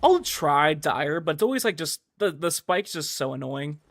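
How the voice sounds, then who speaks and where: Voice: medium pitch, very animated, normal speed, slight background noise. A game streamer giving casual live commentary in an easygoing way, mumbling reassurances while playing. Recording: game music behind the voice. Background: video game music.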